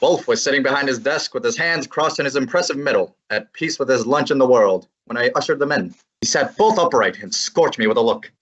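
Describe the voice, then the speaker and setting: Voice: medium pitch, animated, a little fast, hardly any pauses, breathy edge, muffled recording. A performer playing a brash young male assistant who also narrates in an old-time radio drama, talking in a teasing, breezy way. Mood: narrating.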